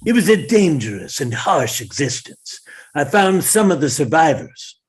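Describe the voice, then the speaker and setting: A man's voice: fairly high pitch, big pitch swings, moderate pace, slightly rough. A man playing an earnest talking animal who solemnly interprets and tells stories at a comedy table read.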